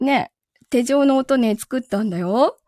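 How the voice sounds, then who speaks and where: A woman's voice: sits high, measured pace, dark tone, no room sound, studio quality. A woman voice performer chatting casually as host of a live stream, giggly in manner. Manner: giggly, laughing often.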